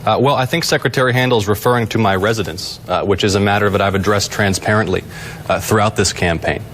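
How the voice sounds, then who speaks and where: Measured young man answering, low voice. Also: fairly bright tone, a little hiss or hum. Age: young adult. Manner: measured, with hesitant fillers. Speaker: political candidate in a debate. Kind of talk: debate answer.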